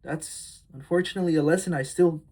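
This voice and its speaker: A man's voice: fairly high pitch, fairly even intonation, a little fast, darkish tone, husky. A man of twenty-one talking to his phone camera in a candid, rambling vlog, reflective and heartfelt, with frequent fillers. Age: early 20s. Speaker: vlogger. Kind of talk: casual confessional vlog.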